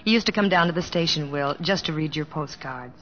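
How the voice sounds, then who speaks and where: Gentle young bride, dull recording. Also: female voice, low-pitched, fairly quick, slight background noise. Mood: tender. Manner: tender, earnest. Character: gentle, devoted young bride of a cowboy entertainer. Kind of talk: 1940s-50s radio drama acting and narration. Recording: dull old-time radio recording, music behind.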